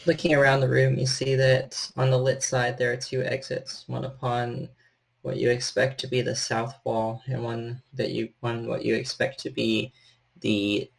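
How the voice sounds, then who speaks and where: A man working as storyteller, narrating a horror role-playing game in a measured, descriptive way. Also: medium pitch, vocal fry, low-quality recording.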